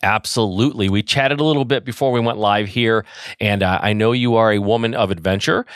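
A man's voice: lowish voice, brisk, clean tone, no room sound, clean studio sound. A middle-aged man from Michigan hosting a podcast interview in an upbeat, chatty way with many fillers. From Michigan, USA. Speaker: podcast host. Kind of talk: casual interview.

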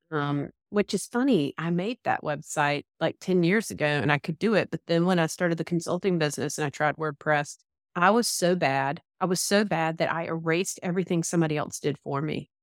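Southern woman from Georgia, 30s, low voice, dry close sound, easygoing podcast guest. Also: fairly quick, no room sound. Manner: easygoing, laughs, self-deprecating. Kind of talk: casual interview chat.

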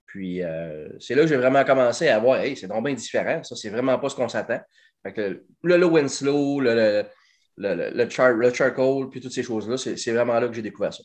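A man in his forties with a Québécois French accent, a guest talking about his barbecue company in a casual interview, fluent and lively with plenty of hesitations. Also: medium pitch, fairly quick. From Quebec. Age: 40s. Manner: animated, fluent, frequent "euh" and hesitations.

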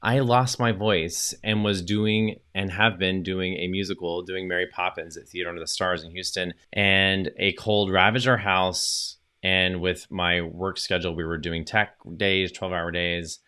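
A man's voice: low voice, level tone, a little slow, few pauses, sharp tone, smooth. A man hosting his own podcast, talking in an upbeat, chatty solo monologue with fillers and self-corrections. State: recovering from lost voice. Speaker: podcast host and social media coach.

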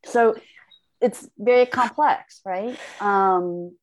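Korea-born woman, guest on a discussion panel, hesitant and searching delivery. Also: medium pitch. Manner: thoughtful, halting, frequent restarts. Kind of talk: reflective conversation.

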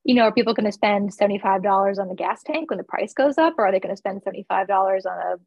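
A woman's voice: mid-range voice, racing, no room sound, highs cut off. A woman from the East Coast who owns a flower shop, talking candidly in a casual interview with fillers and laughs. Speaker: florist and shop owner.

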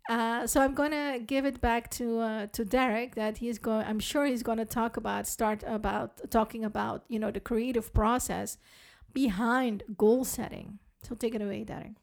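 A Dutch woman co-hosts a podcast in English, her fairly high voice carrying a gravelly rasp. She speaks hesitantly, with frequent ums and restarts. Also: fairly even intonation, normal speed. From Netherlands. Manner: hesitant, many fillers and restarts. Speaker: podcast co-host. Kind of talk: casual podcast talk.

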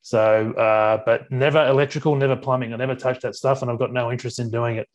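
An Australian man in his forties from Sydney, a workplace advisor talking casually as a guest. He speaks haltingly, with many restarts and ums. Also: medium pitch, fairly even intonation. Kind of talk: casual interview talk.

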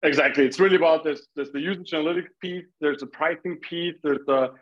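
A man with an Austrian accent and a slightly higher-than-average voice. He talks casually as an interview guest, on a slightly dull, lo-fi recording. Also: steady tone, quick pace. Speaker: startup founder, podcast guest.

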